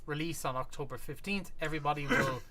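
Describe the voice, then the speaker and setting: A man chatting casually while reacting to game footage, agreeable and quick to affirm. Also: on the high side, noisy background. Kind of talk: casual chat.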